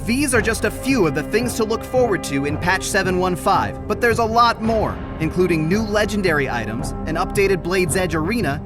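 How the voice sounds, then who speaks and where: Polished male announcer over loud music. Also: high voice, animated. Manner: measured, polished announcer delivery. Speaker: narrator of a game patch video. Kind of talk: scripted promotional narration.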